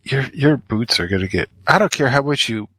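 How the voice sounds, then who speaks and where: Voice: mid-range voice, expressive, brisk, pushed and bright, husky, no room sound, poor audio. A podcast co-host chatting casually and adding informal, laid-back remarks. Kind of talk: casual conversational chat.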